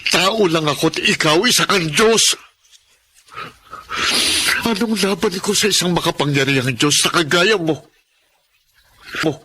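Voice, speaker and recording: An anguished Filipino husband in a radio drama, crying out to God. His voice is high and piercingly bright, stretched into theatrical, impassioned pleading. Clear noise and ambience sit behind him. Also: measured pace, long pauses. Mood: anguished defiance.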